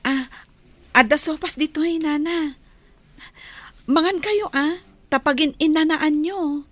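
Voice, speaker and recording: A woman's voice: high voice, takes it slowly, no room sound. A young woman playing an earnest, respectful village girl in a radio drama, in a plaintive, polite manner. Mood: kindly. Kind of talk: Ilocano radio drama acting.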